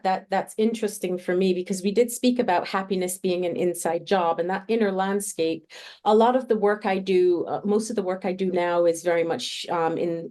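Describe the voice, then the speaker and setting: A woman's voice: mid-range voice, a little mellow. A woman from Barbados and Trinidad with a Caribbean accent, hosting a podcast interview and telling stories in a reflective way. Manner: reflective, storytelling with quoted asides. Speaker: podcast host. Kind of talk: conversational interview.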